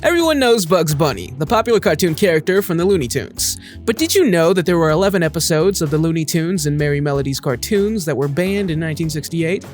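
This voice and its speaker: Higher-pitched, lively male narrator over music. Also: fast, hardly any pauses. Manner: presenter-like, posing questions to the viewer. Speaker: narrator of a list video. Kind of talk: scripted narration.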